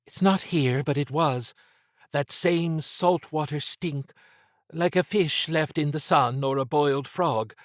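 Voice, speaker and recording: A wary, sardonic female agent, played by a male audiobook narrator. The voice is lifted well above his own and presses its points briskly, like a shrewd spy thinking aloud. The sound is close and dry. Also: on the high side, dry recording, pitched above the performer's own voice. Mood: wary, insistent. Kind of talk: audiobook character dialogue.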